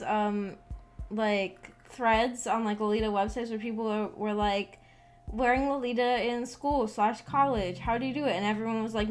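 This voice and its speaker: A young adult woman chatting casually on a live stream, rambling and hesitant, trailing off mid-thought. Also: fairly high pitch. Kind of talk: casual rambling livestream chat. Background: background music with guitar.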